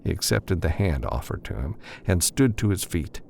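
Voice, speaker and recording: A man's voice: deep voice, very animated, moderate pace, creaky, dark tone, slight background noise. A man narrating scripted adventure fiction as an audiobook reader.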